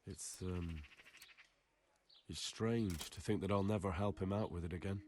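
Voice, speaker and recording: A man's voice: low voice, steady tone, a little slow, darkish tone, slight background noise. A young man acting a polite, inquisitive blacksmith's son in a medieval video game, earnest and plain-spoken. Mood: wistful. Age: young adult.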